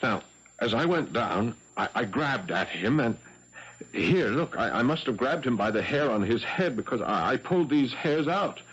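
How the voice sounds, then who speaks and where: An actor playing an ardent young policeman in a 1970s radio drama, halting, emotional and theatrical. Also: mid-range voice, animated, a bit bright, slightly rough. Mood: shaken, distraught. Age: young adult. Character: ardent young French policeman, frantic for his fiancée. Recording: dull lo-fi 1970s radio broadcast.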